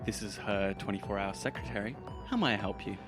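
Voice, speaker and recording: A man playing a soft-spoken young male secretary, polite and businesslike, in a tabletop game. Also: on the low side, a little fast, over music, voice pushed down, natural voice.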